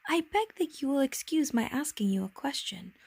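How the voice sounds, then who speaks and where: A woman voicing a timid, earnest and curious little boy prince, speaking softly, hesitantly and politely. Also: high voice, expressive, normal speed, pitched above the performer's own voice.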